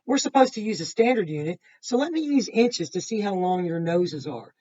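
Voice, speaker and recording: A woman reading aloud as an eager schoolgirl who chatters to her dogs in a storybook, with an expressive delivery. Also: fairly low pitch, moderate pace, highs cut off. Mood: eager, bossy. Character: eager schoolgirl measuring her dog for homework.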